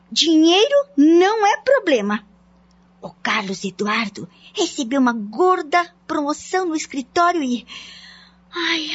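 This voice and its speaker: An actress playing a vain, boastful, insistent wife with an affected manner in a radio soap opera. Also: female voice, sits high. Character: vain, boastful middle-class wife, insistent and status-conscious. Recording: dull lo-fi audio, music underneath.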